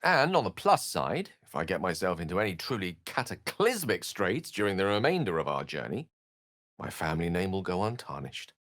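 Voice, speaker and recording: Male scholarly wizard, fantasy video game, articulate, theatrical, wry, widely varied pitch, dry studio sound. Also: sing-song, moderate pace, fairly bright tone, no room sound. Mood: earnest, wry.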